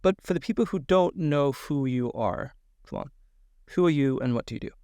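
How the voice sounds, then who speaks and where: A man's voice: a little high, animated, moderate pace, darkish tone, dry recording, studio quality. A man hosting a podcast interview, upbeat and enthusiastic as he introduces and questions his guest. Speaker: podcast host.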